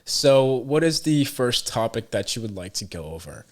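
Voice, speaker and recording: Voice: mid-range voice, big pitch swings, moderate pace, a little mellow. A podcast host interviewing a guest, asking simple questions and giving frequent brief acknowledgments. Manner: frequent brief back-channel replies, asks simple questions.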